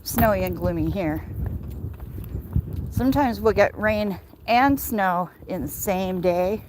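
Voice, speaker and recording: A woman's voice: mid-range voice, lively intonation, slow, a little mellow, slight background noise. An American woman chatting casually and in a rambling way with livestream viewers, answering comments as she walks outdoors. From Michigan, United States. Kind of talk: casual livestream chat while walking.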